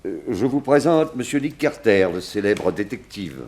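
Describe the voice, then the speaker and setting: A small-town sheriff with a low, deep voice. His intonation rises and falls widely as he hesitates and exclaims. The delivery is folksy, flustered 1960s French radio acting. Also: big pitch swings, normal speed, darkish tone. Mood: awkward, placating.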